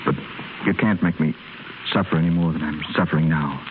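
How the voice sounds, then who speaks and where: An actor playing an earnest, troubled young man who speaks haltingly in a 1930s radio drama. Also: extremely low pitch, steady tone, over loud music. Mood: anguished, remorseful. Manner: halting, stammering. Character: guilt-ridden, earnest wealthy young man. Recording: muffled, noisy 1930s radio recording.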